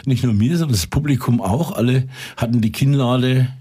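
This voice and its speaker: An older man with a Franconian accent, a musician and former pub owner, telling lively stories with many fillers in a casual radio interview. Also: mid-range voice, normal speed, slightly rough.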